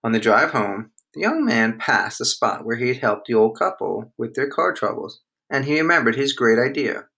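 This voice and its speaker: A man reading a children's storybook aloud in an expressive storytelling manner. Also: medium pitch, normal speed, gravelly, few pauses. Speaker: storybook reader.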